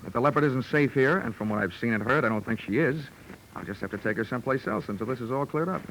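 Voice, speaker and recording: An actor playing a wry, wisecracking American insurance investigator who narrates a 1940s hardboiled radio drama. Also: male voice, medium pitch, lively intonation, fast, fairly bright tone, slight background noise, low-quality recording. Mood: smooth, matter-of-fact. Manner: dry wit, wisecracking. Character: wry, tough male insurance investigator hero. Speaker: lead and narrator of detective show. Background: music.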